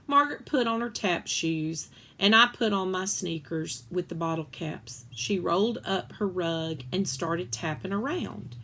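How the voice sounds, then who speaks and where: A woman reading a children's book aloud as a storyteller, expressive and acting out the dialogue. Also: lowish voice, unhurried, few pauses, a little hiss or hum.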